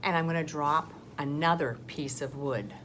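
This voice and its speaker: A woman teaching first graders in a recorded lesson, talking slowly in a sing-song way to the children, with a loud voice. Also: deep voice, very noisy.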